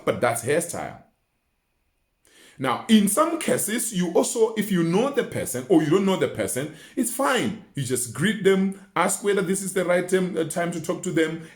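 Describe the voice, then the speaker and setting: A man giving an instructional talk in an emphatic, didactic way, using rhetorical questions and repetition. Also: on the high side. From Botswana. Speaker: research mentor and communication coach. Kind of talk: instructional talk with numbered tips. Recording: roomy, echoing indoor sound.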